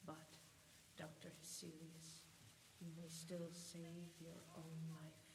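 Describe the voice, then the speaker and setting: A woman playing a menacing, taunting shadow spirit in a radio horror drama, with whispery, drawn-out delivery. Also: low voice, completely flat delivery, crowd noise. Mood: taunting menace. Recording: echoey hall, long reverb, background voices.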